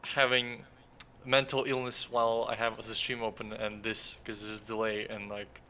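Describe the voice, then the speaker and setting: A man from France calls in over voice chat with a bright, tense edge to his voice. He talks offhandedly and jokes around, with faint noise under the line. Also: on the low side, monotone, normal speed. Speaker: friend in voice chat.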